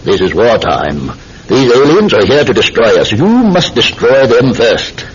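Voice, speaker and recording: An actor playing a sinister, controlled hypnotist posing as a polite tobacconist, with deliberate, theatrical delivery in an old-time radio drama. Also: male voice, mid-range voice, big pitch swings, breathy edge, full character voice. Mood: commanding. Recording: muffled, hissy old radio recording.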